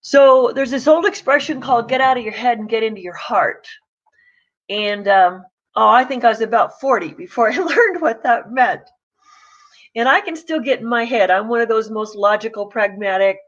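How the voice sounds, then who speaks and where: A woman's voice: fairly high pitch, normal speed, lo-fi sound. A woman coach giving a casual, plain-spoken morning talk to camera. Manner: chatty, pragmatic, plain-spoken.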